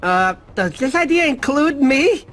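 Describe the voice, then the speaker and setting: Very high-pitched goofy sloth. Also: male voice, sing-song, a little slow, a bit bright, slight background noise, full character voice. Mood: whiny. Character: goofy, eager, self-pleased sloth uncle. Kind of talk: animated game voice acting.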